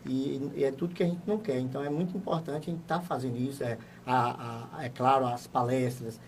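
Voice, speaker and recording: A man's voice: medium pitch, fairly even intonation, a little fast. A man in a radio studio interview explains his points in a formal way and presses them with growing emphasis. He sits back a bit from the microphone, so the voice comes across slightly distant. There is a faint hum of room ambience behind him. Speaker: municipal education secretary.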